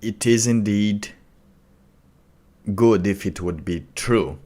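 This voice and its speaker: A man giving casual, off-the-cuff commentary as a streamer, in a halting, rambling way. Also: lowish voice, mellow. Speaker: streamer reacting to a video.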